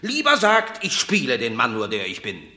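An actor playing a proud, stubborn warrior son in a radio drama. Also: male voice, medium pitch, huge pitch swings, moderate pace, pushed and bright, echoey room, full character voice. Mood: scornful.

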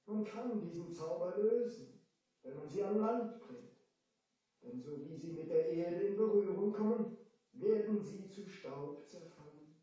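Solemn old wizard, formal n measured, higher voice, distant echoey vintage audio drama. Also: male voice, lively intonation, mellow. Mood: grave, knowing. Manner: stately, deliberate, formal. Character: old wise male sorcerer, solemn and measured. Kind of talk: 1970 German audio drama acting.

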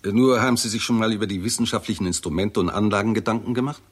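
An actor playing a blunt, sardonic, self-assured scientist in a 1960s radio play, with a dry, assertive way of talking. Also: male voice, on the low side, quick pace, slightly rough. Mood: sceptical, impatient.

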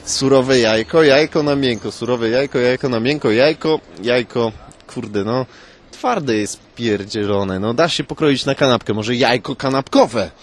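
A man's voice: medium pitch, unhurried, slight background noise, lightly acted. A man voicing an earnest, eager slave food-taster who puzzles things out in a comic tale. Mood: pondering.

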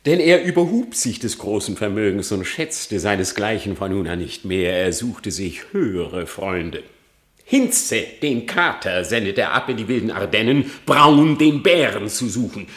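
An actor playing a cunning, lying fox who theatrically feigns repentance in a classic German verse radio drama. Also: male voice, fairly low pitch, lively intonation, normal speed. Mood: scheming, dramatic. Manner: theatrical, feigned repentance, swinging between hush and outcry. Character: cunning lying male fox pleading before his execution.

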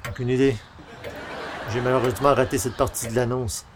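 A man playing an excitable, geeky newspaper columnist in an old-style radio comedy, theatrical and exclamatory. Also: medium pitch, a little fast, background noise, lightly acted. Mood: disappointed, earnest. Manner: theatrical, exclamatory, muttering to himself.

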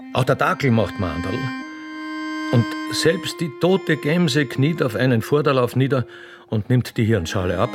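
A man narrating a radio play in a scripted, dramatic style. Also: mid-range voice.

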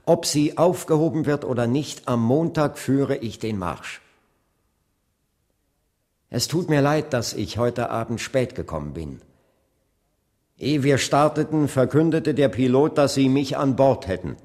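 Man playing a slow, solemn preacher in an echoing hall. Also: medium pitch, lively intonation, a little mellow, natural voice. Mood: grave, resigned. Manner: measured, grave, rhetorical oratory. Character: solemn male Baptist preacher and civil rights leader addressing his congregation. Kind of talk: German radio play, sermon-like speech. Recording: long reverb of a large hall.

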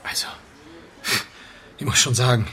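A man playing a middle-aged secret lover in a naturalistic radio drama, smooth-talking, wheedling and easily affronted. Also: medium pitch, unhurried, fairly bright tone, lightly acted.

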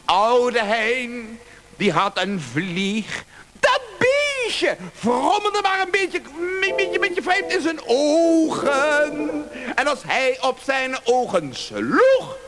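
A man playing a whimsical balladeer, reciting nonsense verse in a drawn-out sing-song. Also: very high-pitched, sing-song, exaggerated voice. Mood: whimsical, mournful. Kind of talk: comic recitation over music. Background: loud music.

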